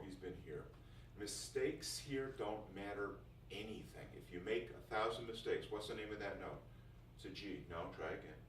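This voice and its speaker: A man giving an informal, jokey first-day talk to music students as their teacher, often repeating phrases for emphasis. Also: on the low side. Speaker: music school teacher addressing new students. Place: indoor classroom. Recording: roomy, distant mic, faint noise.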